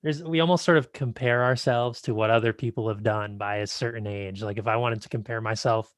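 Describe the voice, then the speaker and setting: A man's voice: lowish voice, fairly even intonation, brisk, dry recording, clean studio sound. A man of twenty-five hosting a podcast, chatting in an easygoing, agreeable way with loose fillers. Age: 20s. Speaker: podcast host. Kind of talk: casual interview chat.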